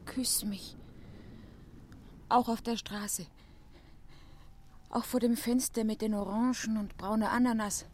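Female, radio play: young lover, devoted and submissive, fairly high voice, solemn fervour, slight recording noise. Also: steady tone, takes it slowly, long pauses. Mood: faint, whispering. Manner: fervent, yielding, solemn. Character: devoted, submissive young woman desperately in love.

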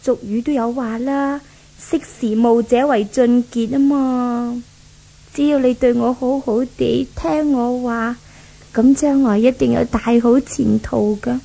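Casting: wheedling rich fiancée, scheming and possessive, higher-pitched yet soft-toned, Hong Kong Cantonese, vintage radio play. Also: female voice, steady tone, measured pace, creaky, low-quality recording. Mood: wheedling, smug. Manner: coaxing, wheedling. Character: spoiled, scheming rich young bride-to-be, coaxing and possessive. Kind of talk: 1970s Cantonese radio drama acting.

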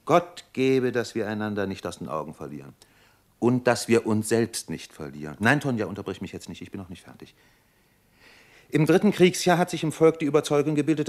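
A man playing an earnest, melancholy idealist doctor in a German radio play, speaking in a solemn, measured, oratorical way. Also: fairly low pitch, lightly acted. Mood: earnest, tender.

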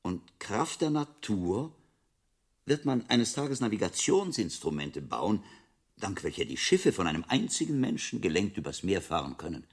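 A visionary friar of about fifty, lectures with assurance in a radio play. The voice is very low and raspy. His intonation swings expressively as he teaches. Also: very animated, moderate pace, heavily acted. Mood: learned enthusiasm. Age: 50s.

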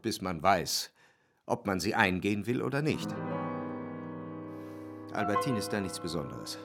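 A male actor as an introspective, indecisive young man telling his story in a literary radio drama. He speaks in a measured, reflective manner at a middling pitch, with instrumental music clearly behind his voice. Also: close to an everyday voice. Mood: wry, self-examining. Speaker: first-person narrator in a radio drama.

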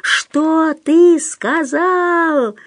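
A woman voicing a brutal, bullying man in an audiobook, hoarse and menacing, barking and hissing orders at underlings. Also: medium pitch, expressive, measured pace, lightly acted. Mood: enraged. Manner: menacing, hissing and shouting commands. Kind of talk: audiobook character voice.

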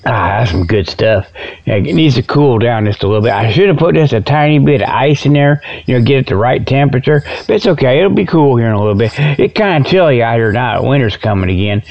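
A man's voice: mid-range voice, expressive, fairly quick, gravelly. A man hosting a comic radio show with a rambling, folksy, informal monologue. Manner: folksy, informal, repeatedly tags phrases with "okay". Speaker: host. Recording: dull lo-fi radio sound.

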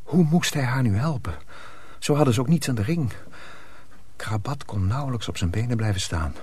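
A man's voice: mid-range voice, expressive, normal speed, a little mellow, breathy edge, no room sound. A man narrating a radio drama as a storyteller, reading a dramatic script.

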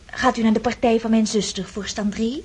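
A woman's voice: on the high side, steady tone, brisk, a little mellow, breathy edge. A woman playing an eager, chatty young countess in a radio play.

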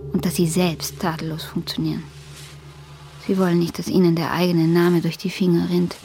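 An actress playing a detached, stubborn woman in a radio drama, speaking deliberately and matter-of-factly. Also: lowish voice, gravelly, warm tone. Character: detached, stubborn woman estranged after waking from a coma. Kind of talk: radio drama acting, introspective monologue and dialogue.

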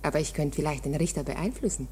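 An actress playing a flirtatious, chatty wife of a court usher in a radio drama, colloquial and coaxing. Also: female voice, sits low, fast talker, dark tone, slightly rough. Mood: flirtatious, confiding.

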